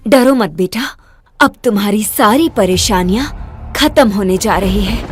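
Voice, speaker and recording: A woman voicing a middle-aged mother who is hiding a dark scheme and speaks slowly and softly. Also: medium pitch, breathy edge. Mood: calm. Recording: music playing behind.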